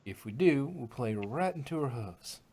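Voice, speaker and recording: A man's voice: mid-range voice, lively intonation, normal speed, mellow, slightly rough. A man voicing a plain-spoken country farm mare, feisty but sensible, in a fan fiction read-aloud. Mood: firm. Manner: folksy.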